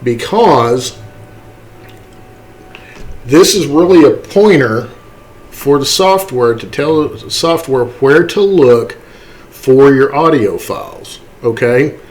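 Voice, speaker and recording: A man instructing in a casual, step-by-step software tutorial, explaining things and often checking in with short tag words. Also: mid-range voice, expressive, slow, pauses often.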